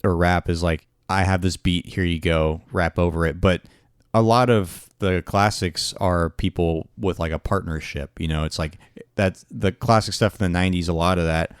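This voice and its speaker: A man's voice: low voice, steady tone, normal speed, clean tone, dry recording, studio quality. A young man co-hosting a music podcast, giving hedged opinions with frequent restarts. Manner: hesitant, restarts, hedging opinions. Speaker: podcast co-host. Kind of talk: casual music chat.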